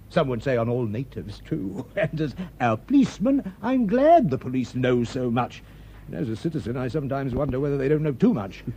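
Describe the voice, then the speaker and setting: An actor playing a chatty, self-assured police detective with a British accent, discursive and opinionated in radio drama dialogue. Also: male voice, on the high side, mellow, breathy edge, exaggerated voice.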